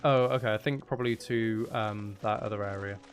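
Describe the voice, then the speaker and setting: A man's voice: on the low side, fairly even intonation, a little fast, soft background music. A man chatting casually on a live gaming stream, rambling and half talking to himself as he plays. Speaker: game streamer.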